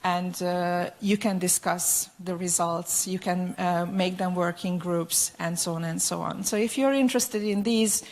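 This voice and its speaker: A woman's voice: on the low side, fairly even intonation. Hesitant Hungarian-accented woman presenting. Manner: halting, frequent hesitations and repeated words. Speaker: educational publisher's account manager, presenting. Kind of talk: conference presentation.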